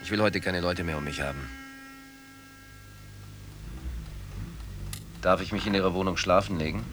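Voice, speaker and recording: An actor in a radio drama playing a terse, aloof gentleman who speaks formally in clipped questions. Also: low voice, very fast, natural voice. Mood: weary. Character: terse, aloof, well-dressed male stranger. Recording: noisy ambient background.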